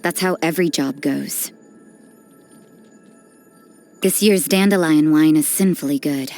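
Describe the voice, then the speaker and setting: A low-voiced, worldly young nun from a video game. She is aloof and cynical, delivering each line with cool, dry detachment and no hurry. Music plays clearly behind her. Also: normal speed, darkish tone. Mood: cool, blunt. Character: aloof, cynical, worldly nun who does dirty work. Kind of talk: video game character voice lines. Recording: background music under the voice.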